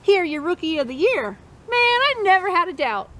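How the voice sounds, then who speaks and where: A woman's voice: very high voice, sing-song, moderate pace, fairly bright tone. A woman reading a picture book aloud to children as storytime host, encouraging listeners and chanting along with them. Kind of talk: interactive read-aloud for children.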